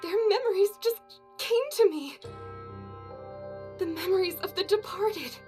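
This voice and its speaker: High-voiced, gentle, devout young woman. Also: measured pace, faint music behind. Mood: awed. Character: gentle, devout young woman warrior who prays for the dead. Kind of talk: video game voice acting.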